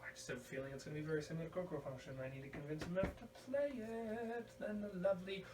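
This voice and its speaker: A man's voice: sits high, fairly even intonation, very fast. A man hosting a casual video podcast, rambling through a show-and-tell with an irreverent, crude sense of humor. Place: indoor room at home. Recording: roomy, distant mic, faint background noise.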